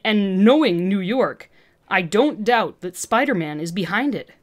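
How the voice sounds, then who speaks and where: A woman's voice: medium pitch, animated, measured pace, lightly acted. A woman voicing an arrogant, agitated woman scientist villain with an intense, condescending manner in a fan fiction reading. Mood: coolly accusing.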